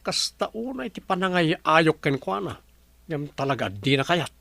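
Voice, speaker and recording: A man's voice: a little high, very animated, moderate pace, gravelly, pushed and bright, no echo, exaggerated voice. An actor playing a lovesick, scheming young man with a Filipino accent in a radio drama. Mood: frustrated. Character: lovesick, scheming young male suitor seeking a business partnership.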